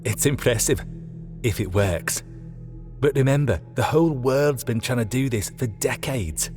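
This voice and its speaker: A man voicing a sharp, practical woman engineer in an audiobook, brisk and confident, firm yet friendly. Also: medium pitch, expressive, long reverb tail, soft background music, close to an everyday voice.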